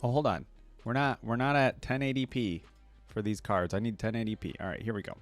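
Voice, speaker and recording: A man's voice: on the low side, steady tone, fairly quick, faint music behind. A man giving casual reaction commentary as a video host, informal, slangy and rambling.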